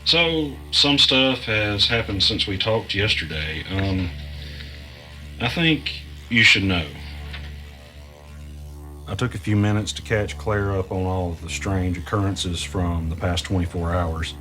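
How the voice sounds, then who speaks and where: Man playing anxious, low-voiced podcast host, music underneath. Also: takes it slowly. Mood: uneasy, hesitant. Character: anxious male podcast host caught in a conspiracy. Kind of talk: audio drama, naturalistic dialogue and first-person narration.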